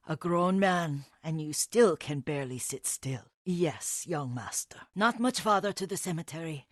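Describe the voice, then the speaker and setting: Husky, slow-spoken elderly Japanese woman. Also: low-pitched, expressive, no echo, studio quality. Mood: fond, nostalgic. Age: older. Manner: gentle, deferential, unhurried, reminiscing. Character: elderly Japanese woman, devoted, nostalgic family caretaker. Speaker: video game character. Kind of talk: dramatic video game acting.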